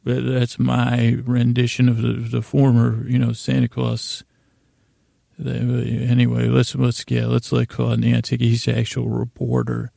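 A man hosting a bedtime podcast in a meandering monologue, mumbling and stumbling with long pauses. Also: medium pitch, completely flat delivery, creaky, dark tone, dry recording, studio quality. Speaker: podcast host.

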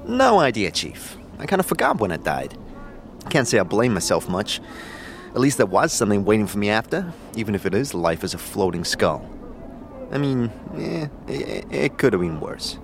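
A man's voice: fairly low pitch, big pitch swings, moderate pace, vocal fry, slight background noise. A man playing a touchy, wisecracking floating skull sidekick in an audio drama, with a snarky, stammering manner. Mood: casual, sheepish.

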